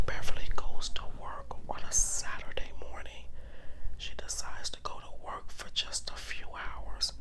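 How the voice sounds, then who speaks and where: Female ASMR storyteller: hushed breathy whisper, very unhurried, dramatic pauses between phrases. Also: rough recording. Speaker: storytelling channel host.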